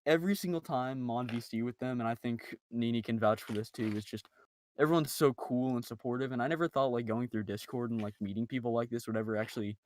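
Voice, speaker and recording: A man's voice: medium pitch, fast, a little mellow. A man talking casually on a group podcast in an informal, chatty, emphatic way. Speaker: podcast member, amateur voice actor.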